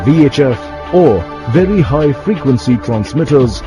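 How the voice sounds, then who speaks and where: A man's voice: medium pitch, animated, measured pace. A man delivering scripted documentary narration, as a television narrator. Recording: loud music bed under the voice.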